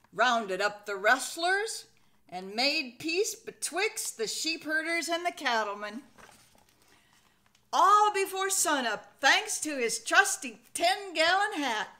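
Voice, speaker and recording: An older American woman in her sixties reads a children's picture book aloud with expressive, folksy Western storytelling. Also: high voice. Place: indoor room at home.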